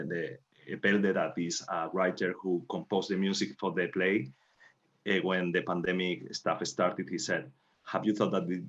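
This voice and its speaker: Low-voiced Spanish man, slightly muffled audio. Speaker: playwright, panel guest. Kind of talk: conversational anecdote telling. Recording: dull, lo-fi online call audio.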